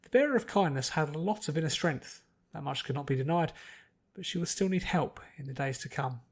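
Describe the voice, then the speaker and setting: Higher-voiced man narrating a story. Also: lively intonation, fairly quick.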